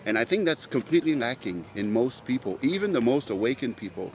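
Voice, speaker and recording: A man's voice: medium pitch, very animated, a little fast, a little hiss or hum. A man whose first language is French, hosting his own podcast in an earnest, rambling solo monologue full of fillers. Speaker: podcast host.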